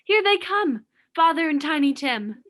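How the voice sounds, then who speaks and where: A woman's voice: high-pitched, big pitch swings, a little slow, fairly bright tone, full character voice. A woman playing an affectionate young working daughter in a radio play.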